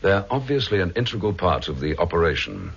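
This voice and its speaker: An actor playing a humane, hard-hitting Scotland Yard detective, brisk and thoughtful, in 1960s radio drama style. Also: male voice, low-pitched, big pitch swings, creaky, breathy edge, full character voice. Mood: businesslike. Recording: muffled vintage radio recording.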